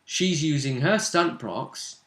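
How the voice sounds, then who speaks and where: A man's voice: fairly high pitch, lively intonation, slow, fairly bright tone. A man casually recapping a tabletop role-play session as its game master, in conversation. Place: indoor room. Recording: roomy echoing home recording.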